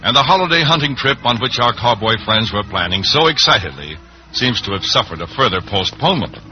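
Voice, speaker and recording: A man's voice: medium pitch, sing-song, a little fast, a little hiss or hum. A man giving dramatic, scripted story narration in old-time radio style. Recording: muffled vintage radio broadcast.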